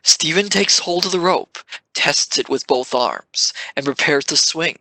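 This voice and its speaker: A man's voice: on the high side, measured pace, bright, forceful tone, low-quality recording. A man reading scripted narration for an audio drama.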